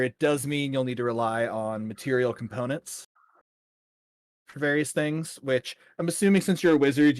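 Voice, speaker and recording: Guy game mastering, takes his time, pauses while he narrates, mid voice, really dry close sound. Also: mid-range voice, no echo. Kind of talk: casual tabletop game narration.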